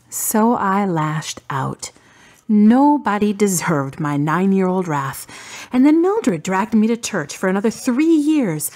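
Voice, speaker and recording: An actress playing a wry, guarded, stubborn daughter in a radio drama, with a husky voice and a deliberate, dry delivery. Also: female voice, medium pitch, darkish tone, heavily acted.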